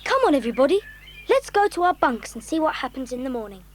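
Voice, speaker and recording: An actress playing a sensible, earnest schoolboy who leads young adventurers in an audio drama. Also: female voice, high-pitched, very animated, fast talker, very noisy. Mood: concerned, resolute. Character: sensible, optimistic boy leader of child adventurers.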